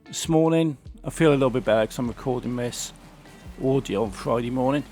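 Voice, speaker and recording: A man's voice: medium pitch, brisk, a little mellow, soft background music. A man with a sore throat, chatting casually as he plays and comments on a racing game. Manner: chatty, grumbling about the game. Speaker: gaming channel host.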